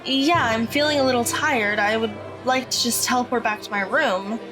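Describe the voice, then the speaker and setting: A bright, high-voiced teenage girl who is just learning magic, voiced by a woman, asking questions in an earnest, curious way. She sounds like an audio drama character, with music playing behind her. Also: normal speed, lightly acted. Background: background music.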